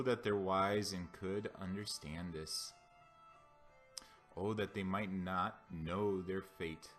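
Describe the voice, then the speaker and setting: A man's voice: low voice, fairly bright tone. A man gives a dramatic, solemn and declamatory reading of scripture. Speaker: scripture reader.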